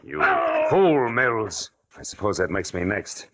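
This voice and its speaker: An actor playing a tough, world-weary American private detective in clipped, dramatic 1940s radio style. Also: male voice, lowish voice, big pitch swings, slow, fairly bright tone, heavily acted. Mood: tense, commanding. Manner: clipped, dramatic, hard-boiled delivery. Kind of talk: 1940s radio drama acting and hard-boiled first-person narration. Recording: old radio recording with music behind.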